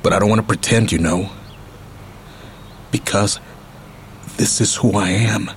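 An American man in middle age acting a world-weary, menacing yet tender ex-convict uncle in a radio drama, with a rough, husky voice. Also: fairly low pitch, steady tone, unhurried, slight background noise. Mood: earnest, tender.

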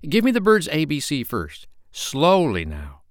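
A man voicing a mild, upright and curious gentleman doctor, earnest and polite, in a children's storybook. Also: fairly high pitch, huge pitch swings, unhurried, dry recording, clean studio sound, voice pushed up. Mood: excited, curious.

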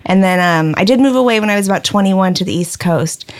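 A woman's voice: mid-range voice, quick pace. A woman with a Californian accent, chatting casually as a podcast guest. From Orange County, California. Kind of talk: casual chat.

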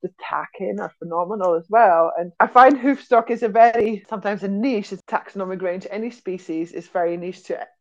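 A woman's voice: mid-range voice, a little fast, hardly any pauses, darkish tone. Chatty European woman, slightly dull audio. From Netherlands and Switzerland. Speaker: zookeeper, podcast guest. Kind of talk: casual anecdotal interview talk.

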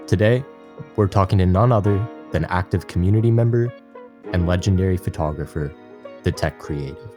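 Low-voiced male podcast host. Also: normal speed, mellow, faint music behind. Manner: friendly, encouraging questions. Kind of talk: interview hosting.

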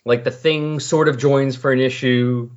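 A man with a husky voice chatting casually on a podcast, explaining and recapping in a rambling way. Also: medium pitch, fairly even intonation, measured pace. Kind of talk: casual comic book discussion. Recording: dull lo-fi voice recording.

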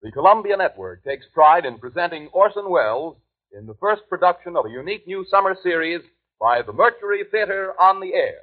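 A man's voice: high voice, lively intonation, a little fast. A man making a formal, stately 1930s radio network announcement. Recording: tinny 1930s radio recording with music.

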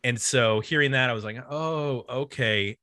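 A man with a bright, forward voice and a close, dry sound. He talks casually as a music podcast host, feeling his way through thoughts in halting phrases. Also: medium pitch, no echo. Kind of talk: casual album discussion.

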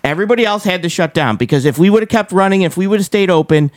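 Higher-voiced man hosting a live show. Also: animated, racing, no echo. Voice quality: squeaky. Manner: emphatic, repeats phrases for stress. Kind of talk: casual live political and economic commentary.

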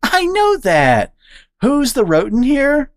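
A woman voicing a bubbly, brash young female squirrel who blurts things out, with a squeaky excited voice. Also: slow, dry recording, clean studio sound, full character voice. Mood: excitable. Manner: loud, excitable, high-pitched blurting.

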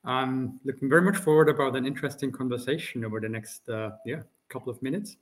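An Austrian man who speaks English with a German accent, in a medium-pitched, even voice. He answers politely and thoughtfully, often pausing on "uh" as he finds his words. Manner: polite and measured, frequent "uh" pauses. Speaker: company CEO, guest. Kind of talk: interview conversation.

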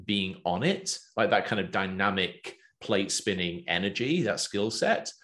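A man with an English accent hosting a business chat, talking in a lively, loose way with plenty of fillers. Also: low voice, a little slow. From England. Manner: animated, talkative, loose with fillers. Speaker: podcast host and business coach.

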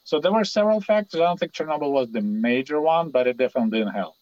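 A man's voice: a little high, animated, fast talker, a little mellow, no echo, highs cut off. A mature man from Ukraine, a native Russian speaker with a Russian accent, talking informally and explaining things as an interview guest. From Ukraine, raised in Soviet Kyiv. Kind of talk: casual conversational recollection and explanation.

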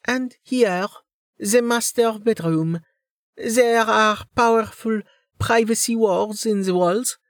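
Man putting on a high, polished, chatty realtor. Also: slow, no echo, studio quality. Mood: polished sales patter. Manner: professional, salesmanlike, composed. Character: chatty, polished male realtor giving a house tour. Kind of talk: audiobook character dialogue.

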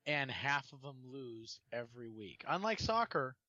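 A man in a casual sports podcast rants and rambles, stumbling and repeating words as he goes. His voice sits at an ordinary pitch. The sound is dry, close and slightly dull. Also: big pitch swings, normal speed, pushed and bright, dry recording. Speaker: podcast co-host.

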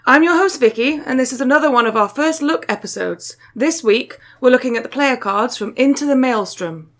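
A woman with a slightly higher voice hosts a podcast in a casual, playful way, riffing and joking about the pictures on game cards. She tosses out guesses lightly and laughs at herself. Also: brisk. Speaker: podcast host.

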